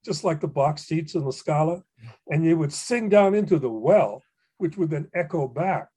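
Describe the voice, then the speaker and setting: Older guy from hawaii rambling through poet stories, mellow husky voice. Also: on the high side, animated, moderate pace. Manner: rambling, many fillers and restarts, warm anecdotes. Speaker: poet and guest. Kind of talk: reflective storytelling conversation.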